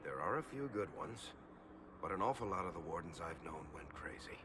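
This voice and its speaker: A man's voice: deep voice, lively intonation, brisk, very noisy. An actor playing a sardonic male adventurer in a video game, wry and casual in his delivery. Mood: weary.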